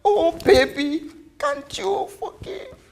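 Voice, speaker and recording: A woman voicing a loving, weary, emotional mother in a story, with pleading, earnest, drawn-out words. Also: medium pitch, sing-song, vocal fry, a little mellow. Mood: tearful, pleading.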